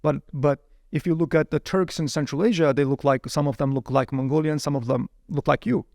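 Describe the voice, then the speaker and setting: A man whose first language is Chinese, telling his story as a guest in a casual interview. He is lively and laughs often, with plenty of "you know" fillers. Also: a little high, a little fast, gravelly, no room sound, clean studio sound.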